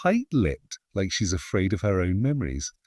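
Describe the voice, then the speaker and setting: A man's voice: low-pitched, huge pitch swings, normal speed, darkish tone, no room sound, clean studio sound, exaggerated voice. A man voicing a gossipy, moralising publican's wife in an audio mystery story. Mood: suspicious, judging. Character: gossiping, judgemental village publican's wife, tight-lipped moralist.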